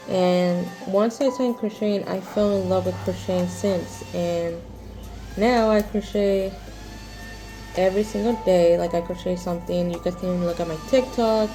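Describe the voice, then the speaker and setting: 20s woman chatting on her vlog, kinda rambly, lots of ums, soft warm voice. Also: mid-range voice, poor audio. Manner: rambling, halting, many fillers and restarts. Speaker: crochet vlogger. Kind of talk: casual Q&A vlog.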